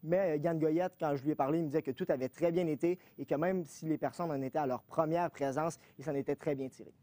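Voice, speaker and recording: A man reporter with a fairly high, warm voice who rattles through his live report quickly and conversationally. The sound is dry and close. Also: fairly even intonation, no room sound. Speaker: news reporter.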